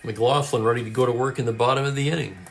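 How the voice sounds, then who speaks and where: A man calling a tabletop baseball replay as play-by-play, with brisk calls and stat asides, and a voice that is getting hoarse. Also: mid-range voice, steady tone. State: losing his voice, clearing his throat. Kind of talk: play-by-play commentary.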